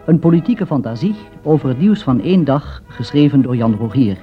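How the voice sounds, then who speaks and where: A man's voice: medium pitch, lively intonation, brisk, soft background music. A man formally announcing a radio play in a scripted style. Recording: muffled old radio recording.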